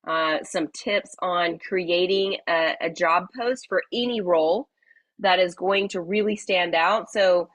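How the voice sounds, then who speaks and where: Slightly raspy woman hosting a webinar. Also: lowish voice, slow, a bit bright, dry recording, poor audio. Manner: friendly, chatty, many fillers. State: hoarse from a cold. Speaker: webinar host. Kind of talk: live webinar presentation.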